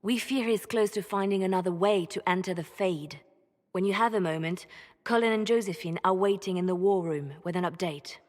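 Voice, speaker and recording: A woman's voice: medium pitch, a little fast, darkish tone, big-room sound, lightly acted. An actress playing a reserved, watchful woman spymaster in a game cutscene, speaking carefully and formally. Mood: grave, businesslike. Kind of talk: dramatic game cutscene dialogue.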